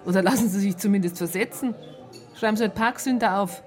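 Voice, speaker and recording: An actress playing a stern, sharp-tongued police superior in a radio drama, with a formal, cutting manner. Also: female voice, mid-range voice, fast, close to an everyday voice. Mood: scornful.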